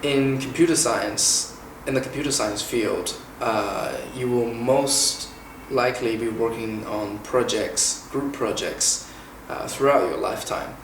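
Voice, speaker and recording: A man in his twenties from China, hosting an informal Q&A and explaining things in a measured way with frequent "uh" pauses. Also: mid-range voice. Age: 20s. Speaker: interviewer and former international student. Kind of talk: informal Q&A, explaining and asking questions. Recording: echoey room, mic at a distance, faint hiss.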